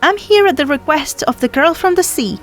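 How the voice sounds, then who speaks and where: A woman's voice: high-pitched, lively intonation, brisk, soft background music, voice pushed up. A woman voicing a polite seagull messenger in a storybook reading. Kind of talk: storybook character voice.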